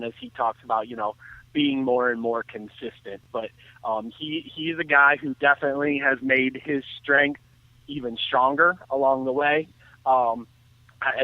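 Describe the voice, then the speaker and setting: A man giving earnest, detailed off-the-cuff baseball commentary as a radio guest, often halting and restarting his sentences. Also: mid-range voice, no room sound. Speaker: baseball analyst and guest on a sports radio show. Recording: thin phone-like line.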